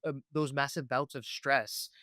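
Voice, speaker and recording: A man hosting an interview podcast, asking wordy, halting questions and often checking for agreement as he goes. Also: mid-range voice, fairly even intonation, sharp tone, no room sound, clean studio sound. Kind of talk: conversational interviewing.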